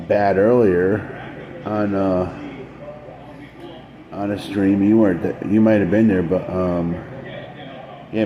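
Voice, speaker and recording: A man's voice: fairly low pitch, dull audio. A man live-streaming late at night, chatting casually with his viewers while losing his voice, rambling and repeating himself with frequent chuckles. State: losing his voice, dry throat.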